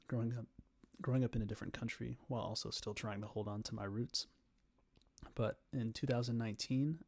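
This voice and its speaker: A young man giving an informal prepared speech in an earnest, hesitant manner with frequent pauses. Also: fairly low pitch, darkish tone, no echo. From Canada, Ontario. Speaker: student giving a persuasive speech.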